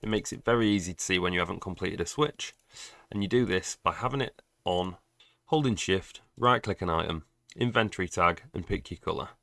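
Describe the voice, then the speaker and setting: A man's voice: low voice, fairly quick, a bit bright, no room sound. A young man with a cold, chatty and explaining game plugins in a tutorial voice-over with dry asides. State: has a cold. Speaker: gaming guide creator.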